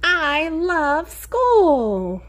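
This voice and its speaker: A woman teacher reading a picture book aloud to young children in an expressive sing-song way, encouraging them and asking them questions. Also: high-pitched. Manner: expressive, sing-song, drawn-out key words; encouraging, addresses children directly.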